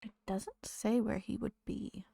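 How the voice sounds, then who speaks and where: Chatty woman streamer with a gravelly edge. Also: mid-range voice, steady tone, unhurried, warm tone, no room sound, studio quality. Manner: chatty, greets viewers, talks to game characters. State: losing her voice. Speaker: game streamer. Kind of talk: casual live-stream chat while playing.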